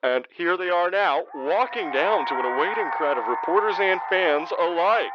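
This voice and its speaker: A man playing an excited live news announcer giving old-time radio commentary. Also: high-pitched, moderate pace, very noisy. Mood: excited, reverent. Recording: dull lo-fi radio broadcast sound.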